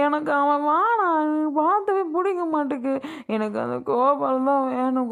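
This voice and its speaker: Casting: actress for weeping young village bride, high-pitched, southern Tamil Nadu accent, stubborn and pleading, family drama. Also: female voice, lightly acted. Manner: whining, pleading. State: crying.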